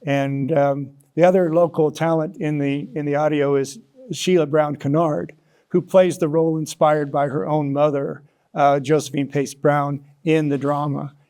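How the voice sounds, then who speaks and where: A man answering as an interview guest, talking conversationally and haltingly, repeating words as he explains. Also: a little high, little pitch movement, darkish tone, clear, resonant tone. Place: broadcast interview studio.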